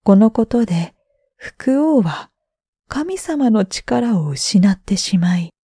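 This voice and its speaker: A woman narrating bedtime stories for sleep in a gentle, drawn-out way with breathy phrase endings. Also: medium pitch, sing-song, no room sound, studio quality. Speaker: storyteller, freelance announcer.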